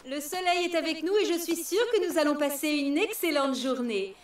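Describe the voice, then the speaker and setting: A woman's voice: sits high, moderate pace, roomy sound, recorded from a distance, noisy background, lightly acted. A woman voicing a formal elephant headmistress. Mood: ceremonious. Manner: ceremonious, announcing. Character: formal female elephant school head. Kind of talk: French cartoon dub.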